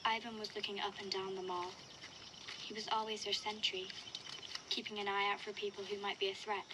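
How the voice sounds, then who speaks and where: A woman playing a wary, reflective young girl who narrates an audio diary in a measured, matter-of-fact way. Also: mid-range voice, flat delivery, background noise, close to an everyday voice. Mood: somber, reflective. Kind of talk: first-person audio log narration.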